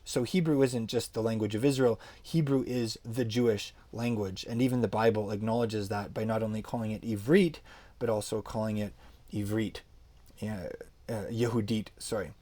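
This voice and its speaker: Mellow-voiced man teaching informally. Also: fairly low pitch. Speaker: Hebrew language teacher. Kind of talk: informal explanatory lecture, reviewing a book.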